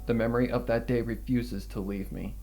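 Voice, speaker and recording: A man's voice: mid-range voice, fairly even intonation, fairly quick. Brooding male story narrator over music. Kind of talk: dramatic first-person fan fiction narration. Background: guitar music.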